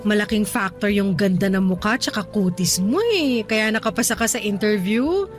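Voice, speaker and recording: An actress playing a talkative, gossipy young office worker in a radio drama, friendly and confiding. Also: female voice, mid-range voice, normal speed, soft background music, lightly acted.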